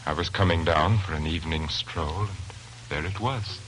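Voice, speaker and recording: An actor playing a strange, menacing gentleman lodger in 1940s radio drama style, halting, formal and dreamily intense. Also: very deep voice, a little hiss or hum, rough recording. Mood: polite, reserved. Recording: old 1940s radio broadcast with music.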